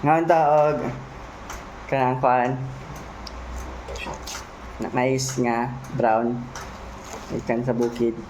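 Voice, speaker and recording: A man's voice: medium pitch, fairly even intonation, very slow, pauses often, noisy background. A man with a Cebuano Filipino accent chatting casually in a product vlog, thinking aloud in short, informal fragments with tag questions. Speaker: motovlogger, host. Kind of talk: casual unboxing and product feedback vlog chat.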